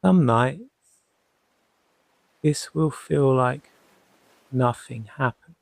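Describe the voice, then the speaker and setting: A man guiding a sleep hypnosis session as a hypnotherapist, soothing and gently reassuring, leaving long pauses between short phrases. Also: medium pitch, gravelly, dry recording. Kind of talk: guided sleep hypnosis narration.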